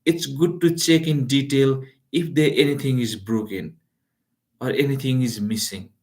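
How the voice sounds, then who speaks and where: A man's voice: medium pitch, steady tone, moderate pace, darkish tone. Man explaining with many fillers. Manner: loose, repetitive, with many fillers and restarts. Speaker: online business instructor. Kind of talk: live-streamed how-to talk. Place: lives in Calgary.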